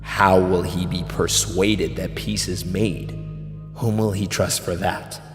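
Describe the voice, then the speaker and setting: An actor playing a grave, wary royal minister in a classical tragedy, speaking in a measured, formal way. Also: male voice, low voice, steady tone. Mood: wary, questioning. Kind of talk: classical tragedy dialogue, audio drama. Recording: echoey hall reverb, music underneath. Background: dramatic music.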